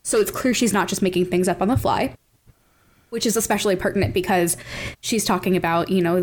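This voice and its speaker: A woman's voice: medium pitch, darkish tone. A woman co-hosting a podcast in casual conversation, leaning on key words for emphasis. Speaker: podcast co-host.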